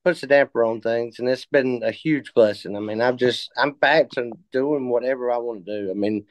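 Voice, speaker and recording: A man of fifty telling his story in a casual interview, halting with many fillers and restarts. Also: mid-range voice, no echo. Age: 50s. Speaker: interview guest.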